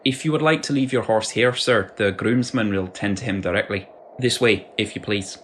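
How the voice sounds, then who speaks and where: A man's voice: fairly low pitch, normal speed, slight background noise, close to an everyday voice. An actor playing a reserved, deferential manservant in a radio drama, formal and courteous in his speech. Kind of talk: period radio drama acting.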